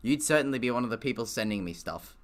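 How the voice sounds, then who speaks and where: A man's voice: mid-range voice, animated, fast talker, background noise. A man giving casual live gaming commentary and reading a visual novel aloud, with a sweary, joking, giggly manner.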